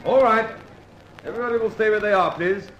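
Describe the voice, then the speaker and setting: An actor playing a brusque police inspector barking official orders in an old-time radio serial. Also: male voice, high-pitched, a little fast, slight background noise, low-quality recording. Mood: commanding. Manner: loud, barked, official orders. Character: brusque, commanding male police inspector running a raid.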